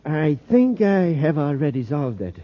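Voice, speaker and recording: An actor playing an excitable, scholarly space scientist who explains things deliberately with small hesitations, in an old-time radio drama. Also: male voice, on the high side. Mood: earnest, intrigued. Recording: tinny, narrow-band old radio recording.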